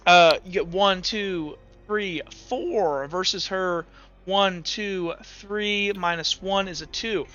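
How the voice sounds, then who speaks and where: A man's voice: high voice, takes it slowly, bright, forceful tone, highs cut off, soft background music. A man casually narrating a tabletop roleplaying game as game master, thinking aloud and correcting himself as he goes.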